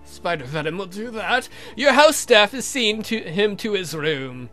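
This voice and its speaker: A man voicing a sardonic, theatrical vampire count for a visual novel game, mocking and campy in a drawn-out way. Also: mid-range voice, very animated, bright, forceful tone, soft background music. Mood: gloating, mocking.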